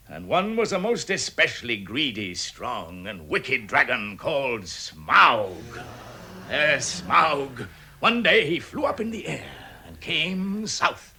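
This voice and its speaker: An actor playing a proud, grave dwarf leader, grand and declamatory in a vintage radio drama. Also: male voice, mid-range voice, sharp tone, slightly rough, full character voice. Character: proud, grave dwarf leader telling his lost history. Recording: vintage 1960s radio recording.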